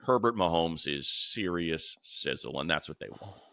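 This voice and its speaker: A man's voice: sits low, lively intonation, moderate pace, pushed and bright, no echo. An American man co-hosting a sports podcast, giving emphatic, slangy opinions in a casual way. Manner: emphatic, slangy, repeats phrases. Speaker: sports podcast co-host, announcer.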